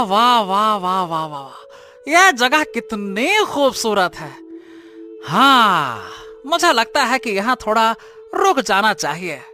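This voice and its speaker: Woman as a high, bright, excitable young prince. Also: exaggerated voice. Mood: delighted. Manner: theatrical, hesitant, exclaiming with repeated words. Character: excitable, lovestruck young exiled prince, naive and dramatic. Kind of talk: cartoon story voice acting. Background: music.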